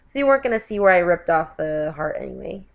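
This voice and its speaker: A woman's voice: on the low side, lively intonation, fast, creaky. A woman narrating a card-making tutorial as a voiceover, sounding stuffy from a lingering cold, chatty and often correcting herself. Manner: chatty, with frequent self-corrections and "you guys". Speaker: craft video host.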